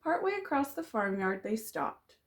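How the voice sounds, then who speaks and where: A woman teacher reading a children's storybook aloud, dramatic and expressive, drawing out the rhyming words. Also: on the high side, moderate pace.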